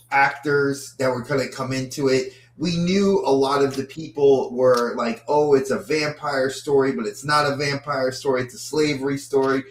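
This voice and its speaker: Man, podcast co-host, animated casual chat, stammers into sentences, echoey room, mid pitch. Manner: animated, repeats words when starting thoughts. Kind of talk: casual movie-review chat. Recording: roomy echo.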